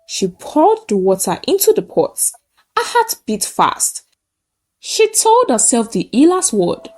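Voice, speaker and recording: A woman narrating a scripted folktale in short, measured, dramatic phrases. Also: fairly high pitch, dark tone. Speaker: storyteller.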